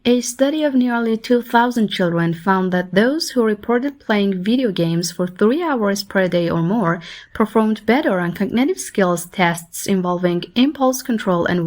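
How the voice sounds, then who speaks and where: A woman's voice: mid-range voice, animated, normal speed, a little mellow. A woman reads a written passage aloud in a middle register. It is a scripted delivery meant as reading practice.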